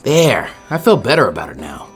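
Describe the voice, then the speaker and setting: A man's voice: fairly low pitch, very animated, fairly quick, breathy edge, faint music behind, heavily acted. A man voicing a brash, scrappy boy ninja in an anime fan story, blunt and dryly sarcastic. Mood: smug, irritated.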